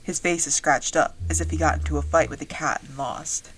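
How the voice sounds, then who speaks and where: A woman playing a wry dragon-riding soldier, narrating a fantasy audio drama in the first person in a measured storytelling way. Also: low voice, steady tone, slight background noise, natural voice, rough recording. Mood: alert.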